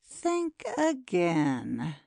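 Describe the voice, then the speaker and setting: A woman plays a cruel, gloating poisoner in a dramatic audiobook. The delivery is slow and taunting, gravelly with fry, and set close in a dry space. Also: lowish voice, wildly swooping pitch, warm tone, no echo, full character voice. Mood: taunting, insistent.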